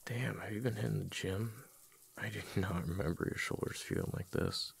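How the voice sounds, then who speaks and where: A man playing a playfully exasperated, affectionate husband in a boyfriend ASMR roleplay, with a deep, husky voice and a halting, casual way of talking. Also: exaggerated voice. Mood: teasing, affectionate. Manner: halting, casual, muttering with repeated words.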